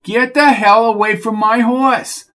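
A man's voice: high-pitched, expressive, moderate pace, voice pushed up. Man doing a brash, bossy horse owner. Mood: angry. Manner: gruff, bossy, threatening. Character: brash hot-tempered male racehorse owner.

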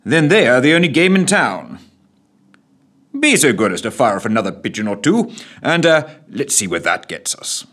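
A man's voice: medium pitch, sing-song, moderate pace, fairly bright tone, voice pushed up. A man playing a dry, unflappable prime minister.

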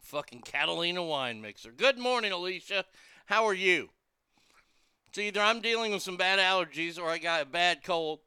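A man hosts a casual talk radio show in a high, strikingly bright voice. He is loose, irreverent and crude as he reads out listener messages. The sound is dry and clear. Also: expressive, moderate pace, no room sound.

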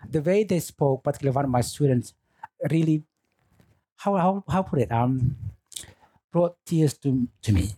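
Mellow, husky man. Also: a little high, animated, normal speed, pauses often, no room sound. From Indonesia. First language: Lamaholot. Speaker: teacher, interview guest. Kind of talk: relaxed radio interview. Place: studio.

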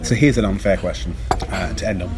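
A man with a fairly low voice hosts an interview podcast. He speaks conversationally, as an interviewer guiding a guest. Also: fairly quick, noisy background.

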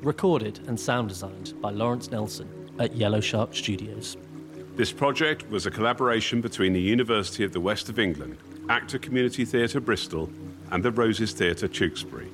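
A man's voice: fairly low pitch, normal speed, music in the background. A man reading scripted credits as an announcer. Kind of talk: scripted narration.

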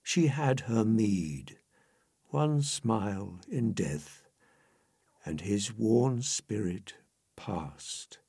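Slow, husky man reciting poetry dramatically. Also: lowish voice, animated, warm tone, dry recording, clean studio sound. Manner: theatrical, solemn, measured delivery. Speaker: poetry reader.

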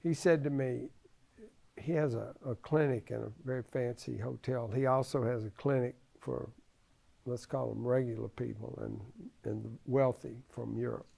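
A man in his seventies with a creaky voice, giving conversational religious teaching in a halting way, repeating phrases for emphasis. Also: medium pitch, steady tone, dark tone. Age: 70s.

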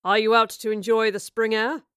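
A woman's voice: on the high side, level tone, normal speed, fairly bright tone, clean tone, no echo, clean studio sound, close to an everyday voice. A woman voicing a reserved, courteous male naval captain who speaks formally. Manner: formal, polite.

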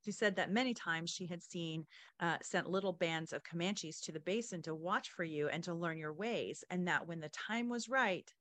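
A woman hosting a podcast, reading story passages and asking questions with expressive, informal asides. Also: on the low side, dry recording. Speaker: podcast host. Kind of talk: reading book excerpts and interviewing the author.

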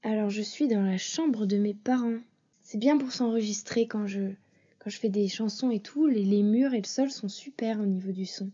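Young woman, breezy chat, husky, muffled lo-fi recording. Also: mid-range voice, moderate pace, dark tone. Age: young adult. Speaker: interviewee. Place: parents' bedroom. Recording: dull lo-fi recording.

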